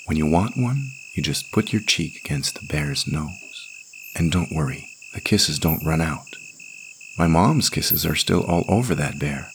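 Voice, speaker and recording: A man voicing a gentle, motherly woman in a story, coaxing and comforting a child softly. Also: extremely low pitch, unhurried, background noise. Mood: whispered comfort.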